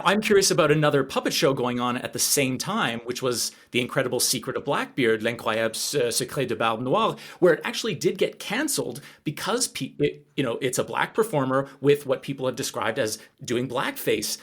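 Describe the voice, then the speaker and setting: A man's voice: mid-range voice, fairly bright tone, husky. A man hosting an interview, asking long, inquisitive questions in a conversational style. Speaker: interviewer, podcast host.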